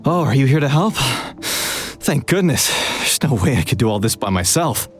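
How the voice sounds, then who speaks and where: Chatty male engineer, mid-pitched acted. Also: very animated, moderate pace, soft background music, close to an everyday voice. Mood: eager. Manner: chatty, explanatory. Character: eager, inventive male engineer in a fantasy game. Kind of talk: video game voice acting.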